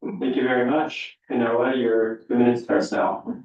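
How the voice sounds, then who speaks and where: A young man moderating a public panel interview, relaxed and full of fillers. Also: mid-range voice, measured pace, highs cut off. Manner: relaxed, filler-heavy delivery. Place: hall with audience. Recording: echoey room, distant mic.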